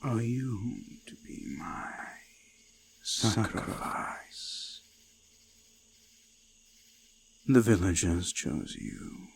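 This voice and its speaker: An ancient eldritch god of the forest, menacing and curious. The deep voice crawls along extremely slowly, crackling with gravel and sinking into whispers. It is a close-up folk horror roleplay with faint ambient noise around it. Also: male voice, darkish tone, exaggerated voice. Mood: ominous curiosity. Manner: slow, deliberate, archaic phrasing, often sinking to whispers. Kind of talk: scripted immersive roleplay monologue, folk horror. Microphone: close binaural microphone.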